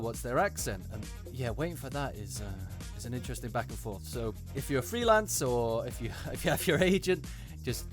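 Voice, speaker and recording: A man hosting an unscripted video, giving casual advice and commentary in a hesitant, thinking-aloud manner. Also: medium pitch.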